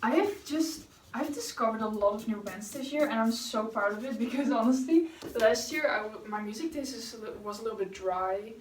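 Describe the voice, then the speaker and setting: A woman's voice: on the high side, animated, a little fast, roomy sound, distant mic, a little hiss or hum. A young woman from the Netherlands with a Dutch accent, chatting casually and rambling while she paints for her vlog. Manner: chatty and rambling, with fillers and self-corrections. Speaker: vlogger.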